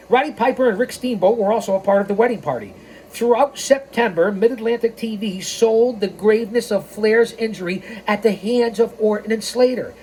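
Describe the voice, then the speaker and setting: A woman narrates a scripted history as the host of a story hour. Her voice sits at a middle pitch, and she slips in playful, casual asides. There is noticeable noise behind her. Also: darkish tone. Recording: clearly noisy background.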